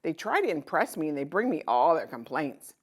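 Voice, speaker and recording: Fatherly storybook king in merchant's disguise, read by a woman: gentle, reflective, widely varied pitch. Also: big pitch swings, brisk, husky, exaggerated voice. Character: kind, weary traveling king disguised as a merchant. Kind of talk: children's storybook read-aloud.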